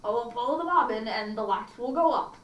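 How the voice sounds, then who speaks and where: A woman's voice: a little high, lively intonation, brisk, a bit bright, reverberant, far from the mic, lo-fi sound. A woman voicing a wicked, hungry storybook wolf who coaxes slyly while pretending to be a kindly grandmother. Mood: coaxing.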